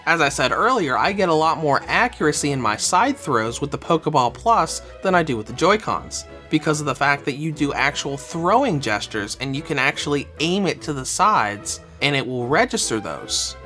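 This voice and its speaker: A man's voice: a little high, big pitch swings, normal speed, few pauses, sharp tone, soft background music. A man narrating a scripted review of a game controller, a little under the weather, speaking with enthusiasm.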